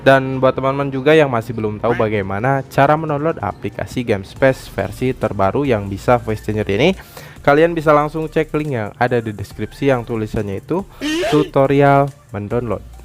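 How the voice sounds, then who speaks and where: Man, mid-pitched voice, explanatory tutorial narration, tag word at phrase ends, music behind. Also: moderate pace. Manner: explanatory, often tags sentences with "ya". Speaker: tech tutorial YouTuber. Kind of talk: screen-recorded app tutorial narration. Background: background music.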